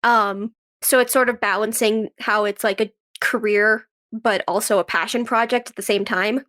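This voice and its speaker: A woman's voice: medium pitch, steady tone, moderate pace, a bit bright. A woman speaking as an interview guest in a conversational, earnest and explanatory way. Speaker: podcast guest, actress and writer.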